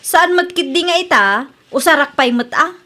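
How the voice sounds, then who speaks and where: A woman's voice: very high-pitched, normal speed, bright, forceful tone. A woman playing a proud, fretful married woman in a comedy radio drama, with an Ilocano accent. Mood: defensive.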